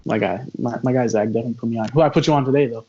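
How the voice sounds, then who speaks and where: Laid-back young man, mid-pitched voice. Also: steady tone, very fast, darkish tone. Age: young adult. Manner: laid-back, smooth-talking. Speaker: podcast co-host. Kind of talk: casual chat. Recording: dull lo-fi call audio with crowd noise.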